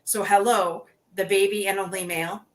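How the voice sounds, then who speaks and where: A woman's voice: mid-range voice, fairly even intonation, normal speed. A woman hosting an interview podcast, chatting casually with plenty of restarts and "you know". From Canada. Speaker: podcast host.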